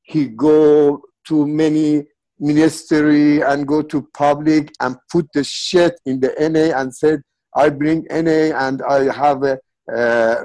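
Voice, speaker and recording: A man with a Persian accent speaks as an interview guest, his first language Farsi. He tells his stories earnestly in broken English at an unhurried pace, his voice pitched a little high for a man. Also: darkish tone, poor audio. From Iran. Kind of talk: casual interview.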